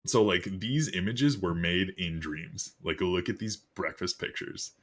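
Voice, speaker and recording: A deep-voiced young man co-hosting a casual chat about video game news, laid-back and swearing often. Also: expressive, measured pace. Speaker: podcast co-host.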